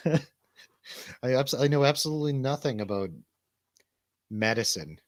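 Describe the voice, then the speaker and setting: A man's voice: mid-range voice, slow, no room sound. A man hosting a show in casual, bantering chat, rambling from one thought to the next. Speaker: radio show host and DJ.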